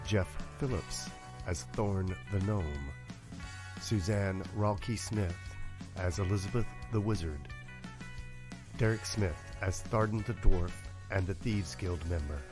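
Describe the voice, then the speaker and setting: A man's voice: sits low, slow pace, pauses often, music in the background. A man reading scripted closing credits as an announcer.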